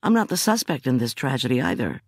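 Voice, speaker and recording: A woman's voice: extremely low pitch, sing-song, fast, darkish tone, dry recording, clean studio sound, full character voice. A woman voicing a shaken, stubborn female prosecutor in an audiobook, insistent and clipped. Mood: indignant, insistent. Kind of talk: audiobook character dialogue.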